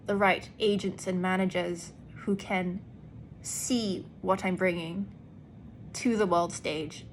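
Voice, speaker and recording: A woman actor speaking in an earnest, deliberate personal monologue with emphatic pauses. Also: medium pitch, a little hiss or hum, rough recording. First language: English. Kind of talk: reflective personal vlog monologue.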